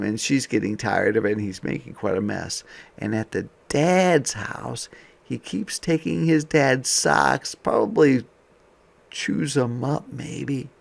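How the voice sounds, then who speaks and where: Male kindergarten read-aloud teacher: very varied pitch, slow delivery, some gravel in the voice. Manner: expressive reading, softer conversational asides. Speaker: teacher reading aloud to young children. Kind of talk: interactive picture-book read-aloud with explanatory asides.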